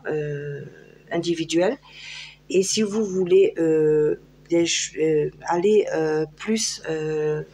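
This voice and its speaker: A French woman talks her viewers through a tarot card reading in a loose, chatty way, full of fillers and restarts. Her voice sits somewhat low for a woman. A faint hum runs under the recording. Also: darkish tone. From France. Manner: chatty, hesitant, many fillers. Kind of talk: casual tarot guidance video.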